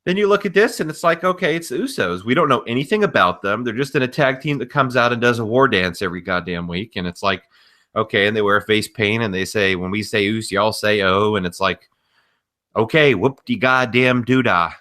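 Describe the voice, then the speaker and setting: Animated, bright-voiced male podcast co-host. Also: lowish voice, brisk. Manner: exasperated, emphatic, mildly profane. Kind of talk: casual wrestling commentary chat.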